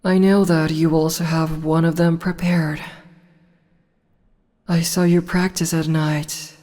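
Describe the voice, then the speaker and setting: A woman voicing a regal, amused female demon empress in an ASMR roleplay, talking softly and teasingly with whispered asides. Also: low voice, level tone, slow pace, in a large hall. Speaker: voice actor.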